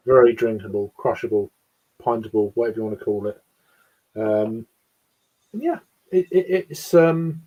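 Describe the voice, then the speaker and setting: A man's voice: mid-range voice, warm tone, low-quality recording. A man reviewing beer in a casual chat, rambling with lots of restarts and fillers.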